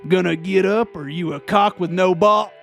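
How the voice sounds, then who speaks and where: A man's voice: high-pitched, normal speed, creaky, faint music behind. A man voicing a vengeful gunslinger in his forties, theatrical and menacing, with an in a video game. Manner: theatrical, grandiose.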